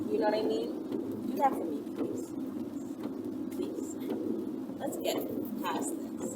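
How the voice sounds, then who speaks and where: A woman hosting an online video, talking casually and off the cuff in an earnest, rambling, conversational way. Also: on the high side. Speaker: online video host answering viewer questions. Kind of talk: casual unscripted opinion talk to camera. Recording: distant mic, clearly noisy background.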